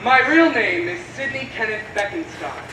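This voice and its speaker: A man's voice: high-pitched, animated, normal speed. A man acting a brash, streetwise dancer in his thirties who speaks proudly. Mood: cocky. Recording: echoey stage from far off, noisy.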